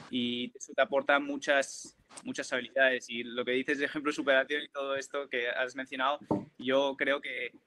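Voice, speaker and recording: A man's voice: medium pitch, sharp tone. A young man speaking as a guest player on a video call panel, with many restarts and fillers.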